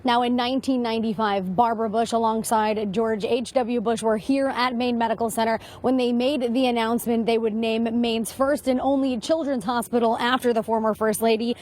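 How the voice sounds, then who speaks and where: A woman's voice: fairly high pitch, steady tone, fairly quick, few pauses, darkish tone. An American woman giving a live TV news report from the field. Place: on location at a hospital.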